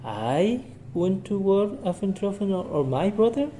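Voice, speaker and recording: A man's voice: sits high, sing-song, a little slow, dark tone, a little hiss or hum. A man teaching English by reading a short story and drilling it with questions he asks and answers himself, repeating each sentence.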